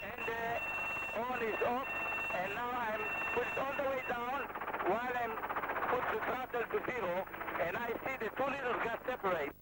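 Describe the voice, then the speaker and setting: Israeli pilot raised over engine roar. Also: male voice, very high-pitched. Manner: halting non-native English, step-by-step. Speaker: helicopter pilot instructor. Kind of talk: explaining cockpit checks. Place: helicopter cockpit with engine running. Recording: loud engine noise behind voice.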